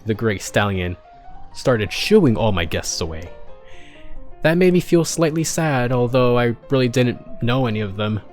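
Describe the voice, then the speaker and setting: Male amateur story reader, medium pitch, expressive but halting delivery with self-corrections, background music underneath. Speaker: streamer reading fan fiction aloud. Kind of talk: expressive first-person story narration.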